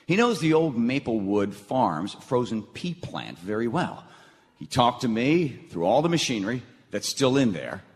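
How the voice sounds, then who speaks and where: A man's voice: medium pitch, sing-song, moderate pace. An actor playing an earnest, eager young man in a lighthearted radio play. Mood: earnest, persuasive. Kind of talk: lighthearted radio theatre acting. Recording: noisy, reverberant hall stage recording.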